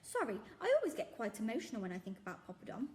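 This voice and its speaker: A woman voicing a big, jolly, kind-hearted shopkeeper who fusses warmly and scolds softly in a storybook reading. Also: fairly high pitch, wildly swooping pitch, rapid-fire. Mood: tender. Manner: warm, fussing, softly scolding. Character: big jolly kindly male shopkeeper, sentimental.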